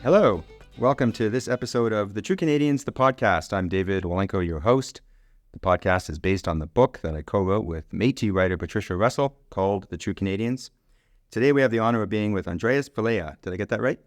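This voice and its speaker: Fast-talking male podcast host. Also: fairly low pitch, no room sound. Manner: chatty, rambling with many restarts. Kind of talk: casual interview conversation.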